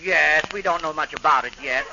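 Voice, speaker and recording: A man's voice: a little high, a little fast, creaky. A man playing a polite, obliging sporting-goods clerk in a 1940s radio comedy, deferential and a little hesitant. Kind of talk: 1940s radio sitcom acting. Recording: very noisy, dull old radio recording.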